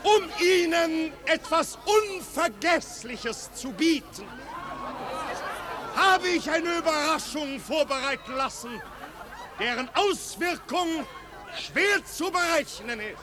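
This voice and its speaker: Snobbish marquis, very high projected voice, slow ceremonious declamation, old radio drama, noisy crowd around. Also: male voice, expressive, heavily acted. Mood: ceremonious, defiant. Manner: formal, grandiloquent, declaiming. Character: snobbish marquis, a grand ceremonious host of lavish parties. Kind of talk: 1950s German radio play acting.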